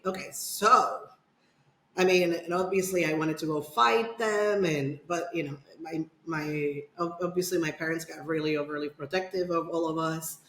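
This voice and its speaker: Middle-aged woman talking in an echoey room. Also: on the low side. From Dominican Republic. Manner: rambling, many fillers and restarts. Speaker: podcast guest. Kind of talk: casual personal storytelling.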